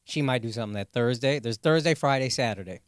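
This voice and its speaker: A man's voice: mid-range voice, fast talker, clean tone, no echo, clean studio sound. A man co-hosting a comedy podcast, explaining things in laid-back casual banter. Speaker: podcast co-host.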